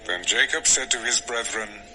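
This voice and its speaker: A man's voice: on the low side, normal speed, sharp tone, faint music behind, low-quality recording. A man narrating a dramatized scripture story in a scripted storytelling style.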